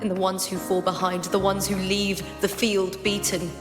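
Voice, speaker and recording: A woman playing an earnest young female gladiator champion in a video game, soul-searching but resolute, with a heartfelt, deliberate delivery. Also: mid-range voice, flat delivery, music in the background, close to an everyday voice. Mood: reflective, troubled. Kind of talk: dramatic game cutscene monologue.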